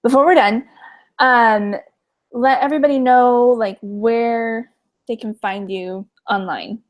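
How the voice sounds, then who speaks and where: A woman's voice: fairly high pitch, fairly even intonation, slow, dull audio. A woman hosting a casual interview, friendly and encouraging. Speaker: interviewer host.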